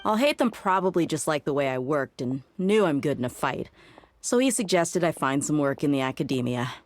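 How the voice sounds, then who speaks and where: A woman's voice: sits low, lively intonation, fairly quick. A woman playing a tough, free-spirited desert mercenary in an English anime game dub, speaking in a confident, plainspoken, easygoing way. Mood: wry, assured.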